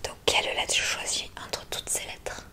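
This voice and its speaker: A woman doing an ASMR roleplay in a breathy whisper, softly guiding the listener step by step into a close microphone. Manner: soft, unhurried, step-by-step instructing. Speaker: ASMR roleplay host, examiner giving relaxation tests.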